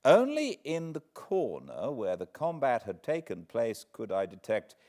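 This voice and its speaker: A British man reading classic literature aloud as a narrator, in a measured, dramatic manner with deliberate pauses. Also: mid-range voice, huge pitch swings, darkish tone. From Britain. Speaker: audiobook narrator, host of fireside readings. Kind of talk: expressive literary storybook reading.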